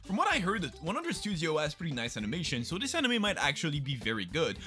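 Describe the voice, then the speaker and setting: Animated, sarcastic young man. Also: on the high side, quick pace, soft background music. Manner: sarcastic, mock-dramatic. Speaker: YouTube host. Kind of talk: comedic anime season commentary.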